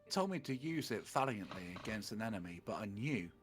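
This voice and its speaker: A man's voice: lowish voice, very animated, brisk. A man doing a comedic dramatic reading of fan fiction on a livestream, theatrical and mock-serious. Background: faint music.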